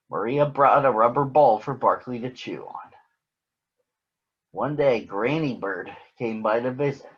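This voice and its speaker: Husky guy reading a kids story out loud, normal pitch, bit lo-fi sound. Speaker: storybook reader. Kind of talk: read-aloud narration for children.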